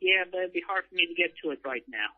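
A man's voice: sits high, animated, quick pace. A man chatting casually over a phone call, giving brief, hesitant answers. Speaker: interviewee. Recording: muffled tinny telephone line.

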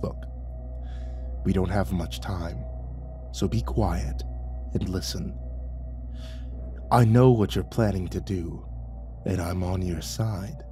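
A vast male dragon speaks low and unhurried, as a man puts him on, his hushed, secretive delivery dropping at times to a whisper. The voice sits well below a normal speaking voice. Faint music plays underneath. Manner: low, hushed, slow and measured, partly whispered. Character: calm, ancient male dragon, huge and knowing.

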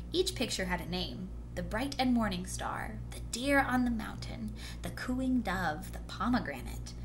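Female storyteller, mid-range voice, expressive children's read-aloud, homemade recording with clear background noise. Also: medium pitch. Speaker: storyteller reading a picture book aloud. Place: indoors at home, small room. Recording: noisy home recording with steady hum.

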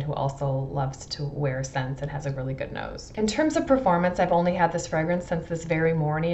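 Low-pitched middle-aged woman reviewing casually. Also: creaky, highs cut off, a little hiss or hum. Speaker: fragrance reviewer. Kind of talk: casual product review to camera. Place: indoors in a furnished room at home.

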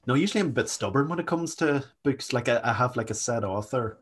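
A man's voice: mid-range voice, lively intonation, fast, slightly rough. A young Northern Irish man chatting casually on a video call, rambling and self-mocking. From Northern Ireland. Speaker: panelist. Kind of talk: casual panel chat. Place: small room indoors.

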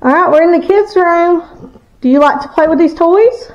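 High-voiced woman coaxing spirits. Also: a little mellow, dull audio. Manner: coaxing, slow questions to spirits. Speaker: paranormal explorer host. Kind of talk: casual ghost-hunting chat. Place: abandoned school, indoors.

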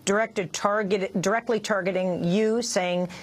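A woman's voice: medium pitch, fairly even intonation, a little slow. A woman hosting a news program, putting direct questions to her guest. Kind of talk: broadcast news interviewing.

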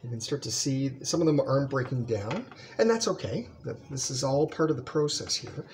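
Middle-aged man, relaxed home cooking chat, built-in camera mic, home kitchen, faint clatter behind. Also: mid-range voice, brisk, a little hiss or hum. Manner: relaxed, talks while stirring, many ums. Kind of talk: casual cooking demonstration. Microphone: built-in camera mic, close framing.

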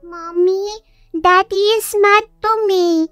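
A pouty little Filipino girl in an audio drama. The voice is extremely high and slow, with childish Taglish and faint music behind it. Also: steady tone, a bit bright, no echo, full character voice. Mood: pouty, pleading. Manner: childish, simple broken Taglish. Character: pouty, clingy little girl scolding her daddy.

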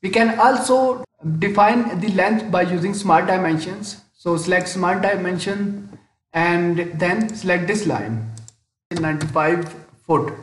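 A man narrating a step-by-step software tutorial as an instructor, hesitant and often pausing mid-sentence, in a voice-over with room echo. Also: sits high. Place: indoor room, screen-recording voice-over. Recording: roomy, echoing voice-over.

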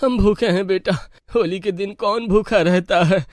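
A man's voice: high voice, measured pace, no room sound, clean studio sound. A man voicing a hungry, poor beggar in a cartoon moral story, speaking in a plaintive, begging way. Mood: pleading. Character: pleading hungry poor male beggar, god in disguise. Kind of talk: animated Hindi moral story acting.

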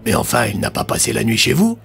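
A serious police commissioner, acted by a man in an audio drama. His mid-pitched voice has a gravelly creak and he is quick to irritation. Soft music plays behind. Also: big pitch swings. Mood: stern, concerned.

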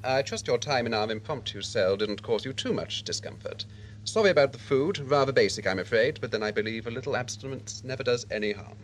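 Suave, animated man acting a gloating villain. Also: mid-range voice, brisk, a little hiss or hum, natural voice, low-quality recording. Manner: pompous, mock-polite. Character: suave, gloating male villain, mock-courteous. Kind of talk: audio drama acting.

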